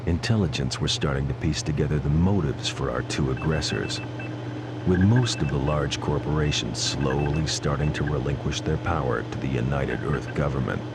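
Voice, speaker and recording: A man playing a weary, cynical police pilot in a video game, narrating in a brooding, wry, gravelly voice. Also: extremely low pitch. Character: weary, cynical male police gunship pilot telling his story. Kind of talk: scripted noir-like narration. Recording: clearly noisy, vehicle-like ambient hum behind.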